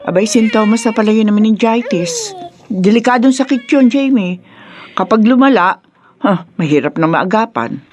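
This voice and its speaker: A woman's voice: fairly high pitch, very animated, moderate pace, darkish tone. An actress with a Filipino accent playing a concerned older mother and grandmother in a radio drama, advising and cautioning. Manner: admonishing, concerned.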